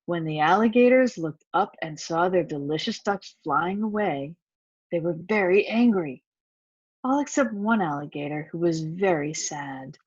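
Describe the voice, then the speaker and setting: A woman's voice: fairly low pitch, animated, measured pace, husky, no room sound, dull audio. A woman reading a children's picture book aloud, with asides to the young listeners. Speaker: volunteer story reader.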